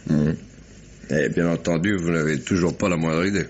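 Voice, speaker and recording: Man playing shrewd commissioner, lowish voice, probing, wry, familiar, old-style radio drama, noisy recording. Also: normal speed, lo-fi sound, natural voice. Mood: probing, methodical. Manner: probing, familiar, wryly ironic. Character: shrewd, talkative male police commissioner questioning a suspect. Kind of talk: French 1950s-style radio mystery acting.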